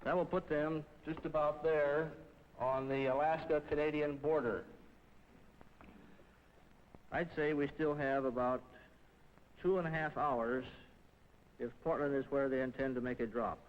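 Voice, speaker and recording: A man's voice: on the high side, steady tone, a little slow, pauses often. A man briefing officials as a civil defense director, speaking plainly with some hesitation. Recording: dull old film sound in a reverberant room.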